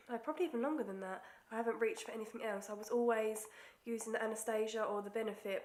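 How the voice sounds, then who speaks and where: A young woman with a stuffy nose from a cold, chatting casually through a makeup tutorial and sometimes dropping to near-whispers as she concentrates. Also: on the high side. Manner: chatty, self-deprecating, drops to near-whispers while concentrating. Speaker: beauty vlogger. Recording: echoey room.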